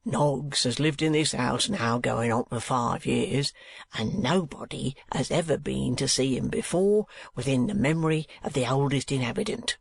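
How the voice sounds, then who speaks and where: A man's voice: medium pitch, normal speed, vocal fry, husky, no echo. A man reading aloud, voicing a nosy male lodger who chats in a confiding way. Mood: gossipy.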